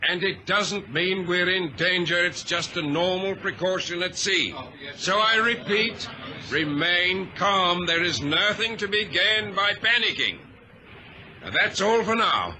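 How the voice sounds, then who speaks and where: An actor playing a steady, commanding ship's captain in an old radio drama, speaking crisply and with authority. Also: male voice, high-pitched, a little slow, heavily acted, poor audio. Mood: firm, calming. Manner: crisp, authoritative, clipped orders. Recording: hissy vintage radio recording.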